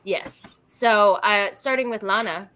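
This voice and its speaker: An American woman hosting a casual live group chat, chatty and quick to laugh. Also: mid-range voice, bright, forceful tone. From United States. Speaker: host.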